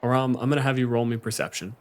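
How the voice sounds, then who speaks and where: A man's voice: mid-range voice, fast. A man speaking as game master, running a tabletop roleplaying game in conversational narration.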